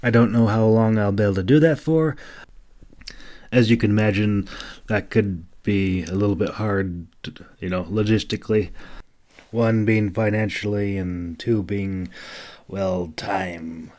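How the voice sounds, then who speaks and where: Unhurried low-voiced man, audiobook narrator. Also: darkish tone. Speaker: author and narrator of an independent audiobook channel. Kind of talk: storybook narration and informal listener address. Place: mostly quiet room at home. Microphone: solo home microphone.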